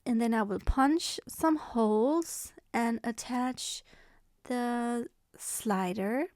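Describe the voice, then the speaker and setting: A woman's voice: a little high, extremely slow, no room sound, studio quality. A woman from Germany talking through a sewing tutorial in a chatty, self-deprecating way, with small restarts. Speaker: sewing pattern designer. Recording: soft background music.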